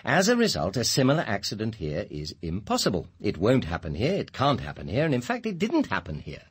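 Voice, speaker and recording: An actor playing a formal, guarded senior scientist with an English accent in a radio drama, speaking in a measured, articulate way. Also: male voice, fairly low pitch, wildly swooping pitch, husky, heavily acted. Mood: firm, reasoned. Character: smooth, guarded male senior scientist, director of a power station. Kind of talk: British radio drama acting.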